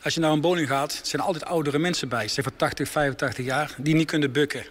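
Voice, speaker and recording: A man's voice: a little high, fairly even intonation, quick pace. A man who runs a bowling lane firm, explaining his ideas in earnest to a radio reporter at a bowling alley, speaking into a handheld mic. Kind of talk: radio interview answers. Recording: on-location radio report, background noise. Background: bowling alley ambience.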